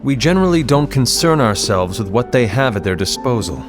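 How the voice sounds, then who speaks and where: An actor playing a serious, suspicious male leader in a fantasy video game cutscene, speaking in a grave, measured way. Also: mid-range voice, sing-song, over music, natural voice.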